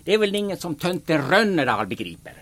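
A man's voice: fairly high pitch, big pitch swings, a bit bright. A man playing a gloomy, grumpy Finn. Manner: grumpy, scornful. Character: gruff gloomy Finnish man with a rifle. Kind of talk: audio drama acting.

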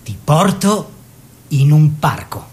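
Earnest painter, swooping lively delivery. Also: male voice, fairly high pitch, takes it slowly. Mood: descriptive, lyrical. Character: earnest male painter, lover and teacher of his model. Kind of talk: theatrical radio drama dialogue.

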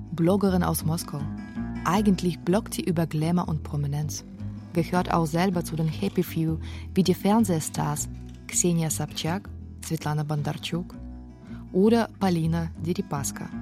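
A woman narrating a scripted radio documentary feature. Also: sits low.

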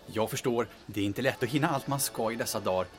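Music plays behind a man acting as a polite hotel receptionist in a radio play. His medium-pitched voice is formal, courteous and discreet, with a chatty professional manner. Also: close to an everyday voice. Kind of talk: audio drama acting.

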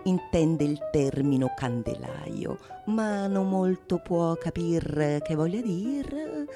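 Animated theatrical woman narrator. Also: fairly low pitch, over music. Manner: storytelling, deliberate emphasis. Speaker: actress-narrator of a stage monologue.

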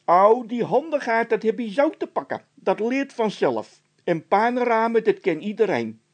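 A man voicing a stubborn, frightened workman who insists and complains loudly in a storybook tale. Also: high-pitched, big pitch swings, measured pace, voice pushed up, exaggerated voice. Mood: bossy.

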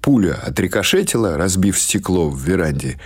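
A man's voice: deep voice, big pitch swings, normal speed, slightly rough. A man reading literary prose as an audiobook narrator, in a scripted storytelling style. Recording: music behind the voice. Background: music.

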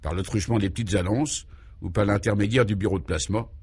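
A man's voice: lowish voice, fast, a little mellow, slightly rough. A man voicing a self-assured local who proudly explains an absurd government in a pompous, emphatic way, in a comic radio serial. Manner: pompous, mock-official, emphatic.

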